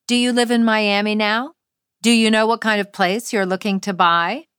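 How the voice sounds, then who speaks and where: A woman's voice: medium pitch, fairly even intonation, moderate pace, fairly bright tone, smooth, no room sound, clean studio sound, close to an everyday voice. A woman reading out the lines of a polite, assured, stern male real estate agent in an essay.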